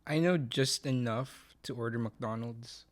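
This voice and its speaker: A young man with a Filipino accent chats casually on a podcast. He rambles, drifts off on jokey tangents and keeps an easy, mid-pitched voice. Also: a little slow. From Philippines. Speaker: podcast co-host. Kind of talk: casual chat.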